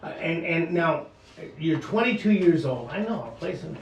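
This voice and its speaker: A man's voice: on the high side, animated, gravelly, reverberant, distant mic, low-quality recording. Man hosting a talk radio show. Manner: halting, many fillers and restarts. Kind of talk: radio interview.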